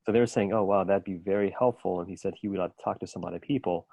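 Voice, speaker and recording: Casting: low, mellow male coach, measured delivery, dry close sound. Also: creaky, no room sound, low-quality recording. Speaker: business English coach. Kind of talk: casual work conversation.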